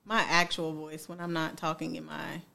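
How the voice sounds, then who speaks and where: A woman with a deep voice, co-hosting a podcast and chatting in a teasing, blunt, slangy way. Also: level tone, normal speed, gravelly, bright, forceful tone. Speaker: podcast co-host. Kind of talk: casual chat.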